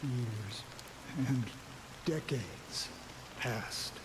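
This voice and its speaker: Game cutscene: a tired, resigned ranger, slow measured lines, steady rain behind. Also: male voice, mid-range voice, background noise. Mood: weary. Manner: slow, reflective, heavy with resignation. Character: weary, world-worn older male ranger. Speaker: game character.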